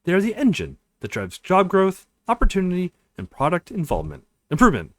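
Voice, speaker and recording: A man playing a formal, solemn attorney in a visual novel, speaking in measured oratory to a jury. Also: high-pitched, wildly swooping pitch, no echo, voice pushed up. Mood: solemn, grand.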